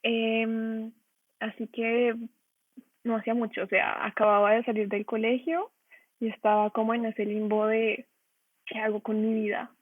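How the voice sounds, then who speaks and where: A woman's voice: fairly high pitch, flat delivery, measured pace, dry recording. A young woman with a Colombian accent answering interview questions casually and hesitantly, searching for words. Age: young adult. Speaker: interview guest, design trainee. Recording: narrow telephone-like online call.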